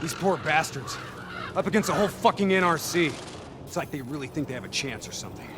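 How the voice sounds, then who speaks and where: An actor playing a hot-headed, cynical, foul-mouthed soldier in a futuristic military video game. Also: male voice, fairly high pitch, expressive, fast talker, noisy background, close to an everyday voice. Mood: bitter, cynical. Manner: intense, profane, blunt. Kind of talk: cinematic military game acting.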